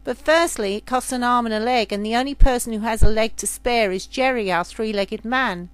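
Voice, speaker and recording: A woman's voice: fairly high pitch, a little fast, clear, resonant tone, no echo, close to an everyday voice, rough recording. Woman playing a brusque circus spaceman. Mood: proud, firm. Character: tall thin spaceship circus man, brusque, matter-of-fact.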